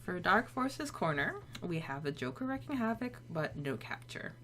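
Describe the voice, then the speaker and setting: A woman's voice: on the low side, big pitch swings, normal speed, pushed and bright, a little hiss or hum. A woman co-hosting a podcast, chatting casually about fiction. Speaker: podcast co-host.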